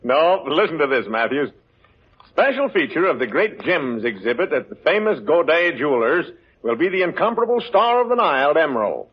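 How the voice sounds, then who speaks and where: Wisecracking, gleeful police chief, husky. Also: male voice, on the high side, sing-song, brisk. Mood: smug, amused. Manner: wisecracking, slangy. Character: gleeful, sardonic police chief hunting a famous rogue. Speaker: actor in a radio crime drama. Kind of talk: 1940s radio acting. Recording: muffled old radio recording.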